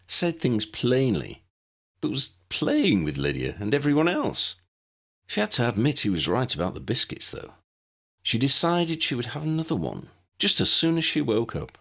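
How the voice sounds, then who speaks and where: A man reading a scripted fantasy story as an audiobook narrator, in a measured, expressive storybook manner. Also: lowish voice, vocal fry.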